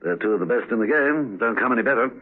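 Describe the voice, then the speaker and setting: An actor playing a suave, dryly playful English gentleman spy in a 1970s radio drama. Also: medium pitch, animated, quick pace, breathy edge. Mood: relaxed, teasing. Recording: tinny, narrow-band vintage radio recording.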